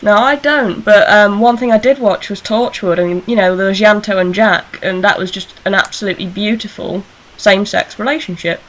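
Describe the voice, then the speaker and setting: A woman's voice: mid-range voice, moderate pace, hardly any pauses, a bit bright, poor audio. A woman hosting a fan radio show, talking casually in a panel discussion.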